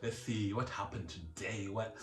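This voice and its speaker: Laid-back Zimbabwean-born man, deep voice, echoey room. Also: fairly even intonation, measured pace. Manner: laid-back, rambling, laughs often, says "man" a lot. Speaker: music vlog host. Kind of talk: casual chat.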